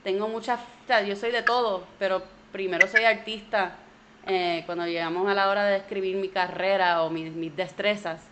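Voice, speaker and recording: A woman's voice: medium pitch, steady tone, moderate pace, fairly bright tone. Woman, Puerto Rican Spanish accent, interview guest, video call, restarts sentences, mixes in English, faint background noise. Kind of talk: casual conversational interview.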